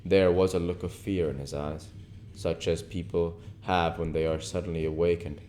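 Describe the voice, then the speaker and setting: A man reads literary fiction aloud in a mellow, relaxed voice. His phrasing is measured and deliberate, dropping low as he narrates. Some noise is audible in the recording. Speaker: audiobook reader. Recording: noticeable background noise.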